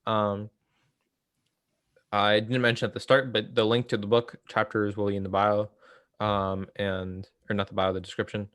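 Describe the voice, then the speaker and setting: A young man with a fairly low voice, recorded dry and close. He talks casually about political theory as a co-host, hedging and feeling his way through each thought. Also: little pitch movement, fairly bright tone, no echo. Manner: halting, hedging, thinking aloud. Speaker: co-host of a book read-along series.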